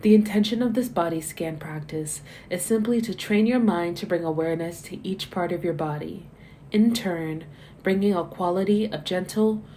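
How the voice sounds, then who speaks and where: A woman with a dark, mellow voice leads a guided meditation. She gives gentle, measured instructions in a soothing way, letting phrase endings fall soft. A little noise sits behind her. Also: on the low side. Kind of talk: guided body scan meditation, scripted narration.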